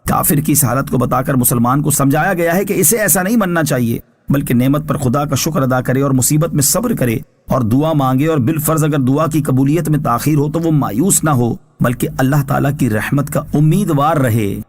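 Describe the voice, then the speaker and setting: A male religious scholar with a somewhat high voice for a man. He reads scripture commentary from a prepared text. His delivery is formal, measured and instructive. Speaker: Islamic scholar giving Quran translation and commentary.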